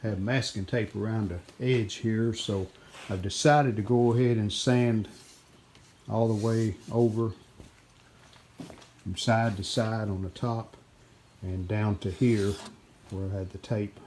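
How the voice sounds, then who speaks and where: A man giving casual, plainspoken hands-on narration as the host of a repair video, unscripted and pausing mid-sentence. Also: on the low side, fairly even intonation, darkish tone. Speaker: host of a radio restoration video channel. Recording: clear background noise, room ambience.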